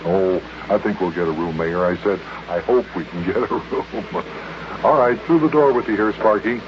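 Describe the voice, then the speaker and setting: A man playing a steady, kindly grown-up who calmly guides his companions in a children's radio serial. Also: low-pitched, moderate pace, background noise, lightly acted. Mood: reassuring, calm. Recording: muffled old radio recording with music.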